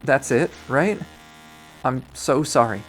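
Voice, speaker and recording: A man's voice: mid-range voice, slow pace, fairly bright tone, faint music behind, low-quality recording. Man acting a stammering, frightened young captive guy. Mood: desperate apology. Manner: stammering, desperate, pleading. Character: frightened young male student held captive, pleading. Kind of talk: visual novel character acting.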